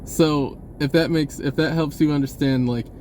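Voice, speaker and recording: A man talking casually in a rambling monologue, halting and pausing mid-sentence as he goes. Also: fairly high pitch, steady tone, a little mellow, a little hiss or hum. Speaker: vlogger.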